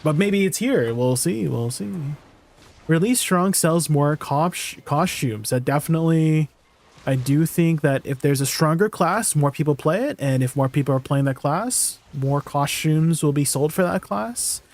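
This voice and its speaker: A man's voice: a little high, lively intonation, measured pace, a little mellow. A man live-streaming, chatting casually with viewers and thinking aloud with lots of fillers and restarts.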